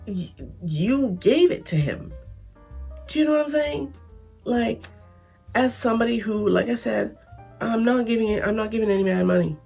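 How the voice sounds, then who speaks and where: Hoarse Canadian woman vlogging. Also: medium pitch, darkish tone. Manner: chatty, emphatic, frequent restarts. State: losing her voice, hoarse. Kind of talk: casual opinion vlog.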